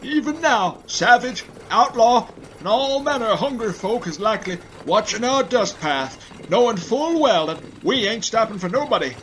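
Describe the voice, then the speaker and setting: A man's voice: sits high, expressive, moderate pace, a bit bright, low-quality recording. A man playing a folksy, obliging stagecoach guard with an American Old West accent in an audio play. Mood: warning. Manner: folksy, rustic speech. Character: folksy, obliging male stagecoach shotgun rider. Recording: faint background noise.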